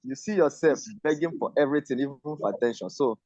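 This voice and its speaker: A man's voice: medium pitch, fast, a little mellow, dry recording. Hesitant man on a call. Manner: hesitant, searching for words, fillers. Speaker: panel guest. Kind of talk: casual discussion on a video call. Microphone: video call. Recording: dull lo-fi video call audio.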